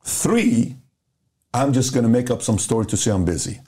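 Man from Iran, middle-aged, warm mellow tone, animated storyteller, acts out conversations. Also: medium pitch, moderate pace. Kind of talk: casual podcast storytelling.

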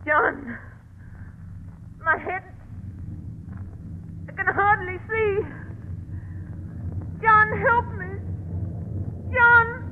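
An actress playing a scheming wife who turns into a cat, in a vintage radio drama. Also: female voice, medium pitch, slight background noise, close to an everyday voice. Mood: panicked. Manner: taunting, then shrieking in panic. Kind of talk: 1940s radio acting. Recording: tinny old radio recording.

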